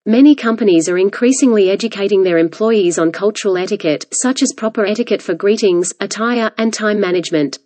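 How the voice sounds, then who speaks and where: A woman reading a study passage aloud in a measured, formal way. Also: medium pitch, mellow, no room sound. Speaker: reader of practice exam passages.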